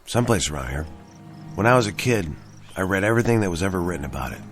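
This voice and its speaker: An actor playing a seasoned, level-headed army tank sergeant in a radio drama, measured and plain-spoken. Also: male voice, sits low, lively intonation, over music. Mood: grave, recounting.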